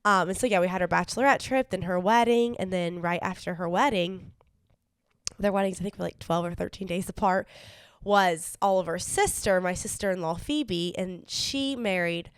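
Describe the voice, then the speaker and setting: Young female podcast co-host, nasal from a cold, low gravelly voice, dry close mic. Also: normal speed, no echo. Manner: easygoing, laughs often, fillers. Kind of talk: casual chat.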